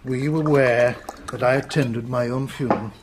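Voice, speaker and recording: An actor playing a mocking, cold-blooded master criminal in a radio drama, urbane and condescending. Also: male voice, medium pitch, fairly even intonation, moderate pace, slight background noise, lightly acted. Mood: quiet menace.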